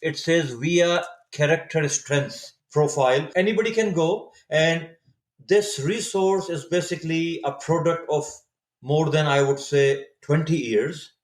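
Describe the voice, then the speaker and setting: A man's voice: a little high, a little slow. Reflective Urdu-speaking man, echoey room. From Saudi Arabia. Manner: reflective, searching for words with frequent restarts. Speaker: podcast guest. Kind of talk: casual interview storytelling.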